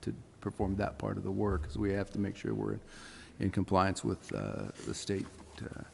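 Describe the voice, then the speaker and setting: A man, a city official, explaining things in a halting, hesitant way at a public meeting. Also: on the low side, big pitch swings, gravelly, darkish tone. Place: council chamber. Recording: echoey meeting room, faint noise.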